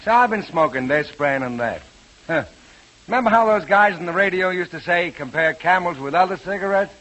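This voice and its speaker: Folksy everyday guy, rather high voice, musing, conversational, vintage radio ad acting. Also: lively intonation, brisk, fairly bright tone, low-quality recording. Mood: wistful, chatty. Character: ordinary folksy man, chatty, wistful smoker.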